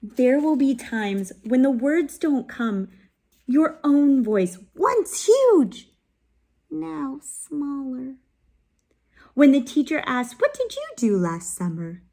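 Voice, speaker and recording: A woman reads a picture book aloud to young listeners in a slow, unhurried way. Her voice sits a little on the high side, and she stops often to ask gentle questions, sometimes dropping to a soft whisper close to the mic. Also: sing-song. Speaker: children's read-aloud host. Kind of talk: expressive picture-book read-aloud with teaching pauses.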